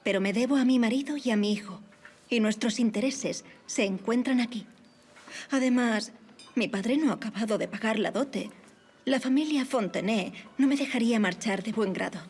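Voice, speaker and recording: A young lady of high society in a period audio drama. Her voice sits a little high. She is gracious and affectionate, with formal, witty, self-assured speech. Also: moderate pace, a little mellow, breathy edge. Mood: politely firm.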